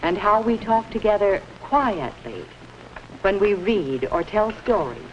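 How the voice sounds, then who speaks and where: Actress as kindly 1950s schoolteacher, mid-pitched woman, patient and instructive with children, lo-fi noisy film sound. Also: expressive, measured pace, lightly acted. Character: kindly, orderly woman primary school teacher. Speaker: teacher. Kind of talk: 1950s educational film acting.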